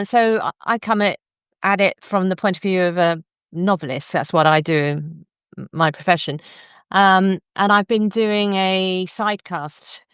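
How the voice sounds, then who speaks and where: A woman's voice: fairly low pitch, smooth, no echo, clean studio sound. A woman hosting a literary discussion, speaking in an articulate, thoughtful, conversational way. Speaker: podcast host; novelist.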